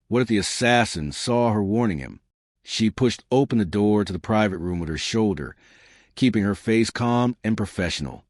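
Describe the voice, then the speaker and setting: A man's voice: fairly low pitch, no echo, clean studio sound. A man reading a suspenseful science fiction story aloud in a dramatic narrating style.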